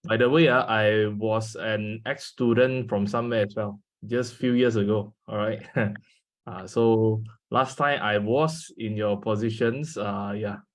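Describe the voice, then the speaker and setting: A man's voice: lowish voice, fairly even intonation, unhurried. A man in his twenties with a Malaysian English accent, a native Mandarin speaker, giving a guest talk over a video call with frequent fillers. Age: 20s. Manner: hesitant, many fillers, speaks to an audience. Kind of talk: online seminar talk.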